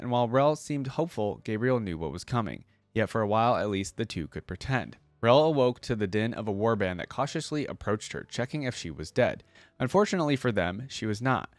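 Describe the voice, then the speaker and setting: Low-voiced man in a dry, close recording. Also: no echo. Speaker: reaction video host. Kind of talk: reading a fantasy story aloud with casual commentary.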